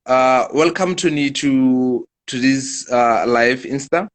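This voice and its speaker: A man hosting a live-stream interview, speaking in a measured way and leaning on repeated set phrases. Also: medium pitch. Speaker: host.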